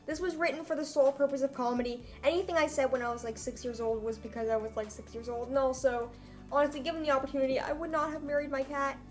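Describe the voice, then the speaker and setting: High-voiced young woman, wry and self-mocking. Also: steady tone, fast, soft background music. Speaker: vlogger. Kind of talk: casual comedic vlog chat, reading own old writing.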